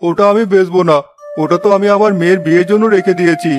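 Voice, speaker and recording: A man's voice: high-pitched, fairly even intonation, quick pace, music in the background, natural voice. A man playing a poor, weary old village farmer and father in a cartoon, plaintive and resigned. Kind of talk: cartoon fairy tale dialogue.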